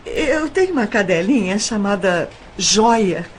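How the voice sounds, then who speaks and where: An actress playing a troubled young woman in an audio drama, speaking politely and haltingly as she persuades. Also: medium pitch, big pitch swings, a little hiss or hum. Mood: troubled, pleading. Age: young adult.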